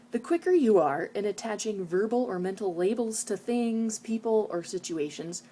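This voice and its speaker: A woman's voice: fairly low pitch, dark tone. A woman vlogger speaking earnestly and reflectively, as if sharing thoughts from a book. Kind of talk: reading book passages aloud and informal reflective talk. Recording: noticeable background noise.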